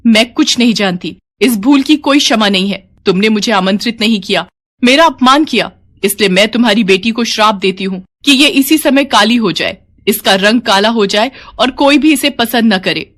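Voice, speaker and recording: Woman acting an angry, imperious dark fairy. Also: on the high side, animated, normal speed, voice pushed up. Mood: furious. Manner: imperious, indignant, declamatory.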